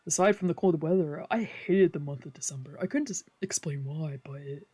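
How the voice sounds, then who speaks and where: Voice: mid-range voice, fairly quick, dark tone, slightly rough. A streamer reading a visual novel's narration aloud, occasionally stumbling over a word. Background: background music from a video game.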